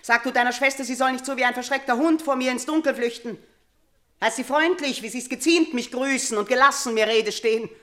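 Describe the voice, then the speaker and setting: A woman's voice: high voice, a little fast, a bit bright. A woman playing a middle-aged queen and mother, haunted and domineering, in a theatrical classical German radio drama, shifting between murmurs and outcries. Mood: haughty, commanding. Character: haunted, imperious queen and mother, uneasy with guilt.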